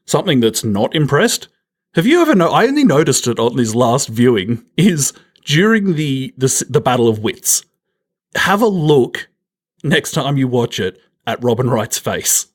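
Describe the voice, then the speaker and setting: A man's voice: medium pitch, very animated, moderate pace. A middle-aged man co-hosting a podcast, talking casually about films with a dry, teasing humour. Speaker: podcast co-host. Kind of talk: casual film discussion.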